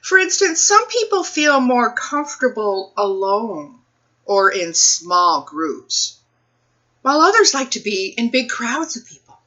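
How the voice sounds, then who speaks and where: Bright, high-voiced woman reading to children. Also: very animated, lo-fi sound. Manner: addresses young children with questions, deliberate phrasing. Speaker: children's librarian reading a picture book aloud. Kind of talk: children's storytime read-aloud with asides to listeners.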